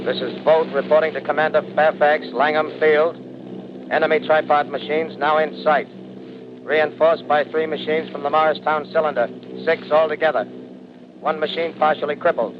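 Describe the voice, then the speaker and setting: A man's voice: a little high, level tone, moderate pace, close to an everyday voice. A man playing a clipped army bomber pilot over roaring engines. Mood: clipped, steady. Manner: clipped military radio report. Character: male army bomber pilot lieutenant reporting by radio. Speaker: bomber squadron commander. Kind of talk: 1930s radio drama acting. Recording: dull old radio broadcast with loud engine noise.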